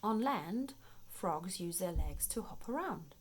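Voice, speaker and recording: A woman reading a children's nature book aloud in an expressive, playful storytime manner, paced for young listeners. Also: fairly low pitch, slow pace, husky. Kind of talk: read-aloud children's nonfiction storytime narration.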